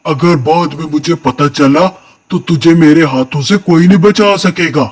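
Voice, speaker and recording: A man's voice: on the high side, normal speed, close to an everyday voice. A man playing a stern, threatening village headman in a children's cartoon story, with an authoritative, warning manner.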